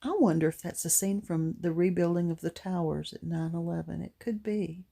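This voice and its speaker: A middle-aged woman with a gruff voice giving a chatty, rambling, unhurried voice-over for a craft tutorial. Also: lowish voice, fairly even intonation, dark tone. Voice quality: gruff, gravelly. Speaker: craft vlogger.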